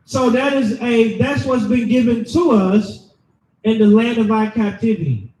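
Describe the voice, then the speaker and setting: A man preaching loudly and emphatically, calling for scripture readings and explaining them to a crowd. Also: extremely high pitch, a little slow, echoey room, recorded from a distance, poor audio. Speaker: street preacher. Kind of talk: shouted sermon with scripture reading.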